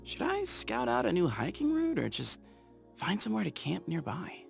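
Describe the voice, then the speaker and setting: An actor voicing an easygoing young desert scout in a game, talking in an upbeat, playful way. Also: male voice, high-pitched, huge pitch swings, moderate pace, creaky, soft background music, exaggerated voice. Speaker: game character. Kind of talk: game voice lines.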